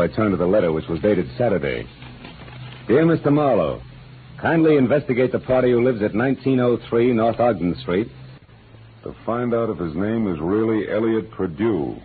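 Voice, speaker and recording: Male actor playing world-weary American gumshoe, very deep, animated inflection, clipped wry radio noir narration. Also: moderate pace, slight background noise, natural voice. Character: wry, hardboiled male private detective narrating his case. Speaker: lead actor. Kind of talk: 1940s-50s American radio noir acting. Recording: muffled old radio recording with music.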